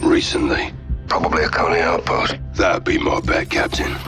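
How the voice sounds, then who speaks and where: An actor playing a gruff, determined special forces soldier in a military game, terse and laconic. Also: male voice, rumbling low, fairly even intonation, moderate pace. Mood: terse, tactical. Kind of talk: military game cutscene acting. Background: dramatic music.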